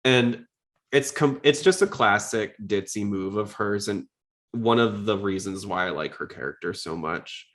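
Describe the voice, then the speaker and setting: A man's voice: fairly low pitch, measured pace, fairly bright tone. A man hosting a podcast, talking casually about anime in a conversational way. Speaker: podcast host.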